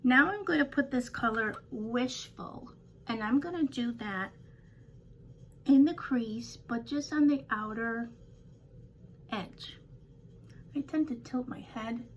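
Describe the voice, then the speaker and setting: An older woman with a slightly raspy voice, chatting casually through a home makeup tutorial with rambling, self-deprecating asides. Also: fairly high pitch, slow pace, long pauses, slight background noise. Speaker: beauty vlogger.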